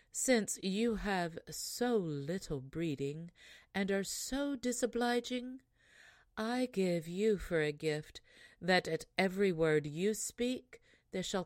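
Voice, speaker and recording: A woman voicing a fairy in disguise who calmly and quietly pronounces a curse. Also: medium pitch, no echo, clean studio sound, close to an everyday voice. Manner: calm, quiet, stern. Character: calm fairy disguised as a princess.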